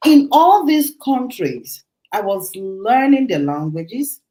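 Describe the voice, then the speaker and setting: Middle-aged woman, interview guest. Animated, laughs often, loose conversational delivery. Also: a little high, slow pace. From Nigeria. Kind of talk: casual interview talk.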